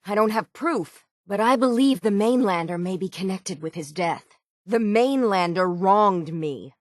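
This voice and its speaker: A woman voicing a gruff, stubborn, hard-bitten shipwright in a video game, with salty, plainspoken seafaring talk. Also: medium pitch, animated, a little slow, no echo, studio quality, natural voice. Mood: grave. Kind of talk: video game character dialogue.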